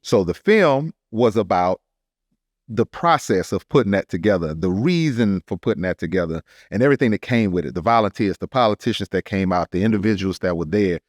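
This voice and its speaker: A man's voice: fairly low pitch, expressive, fairly quick, dry recording, clean studio sound. A man with an American accent telling a personal story in a casual, earnest and emphatic podcast style. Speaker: podcast speaker, artist telling his story.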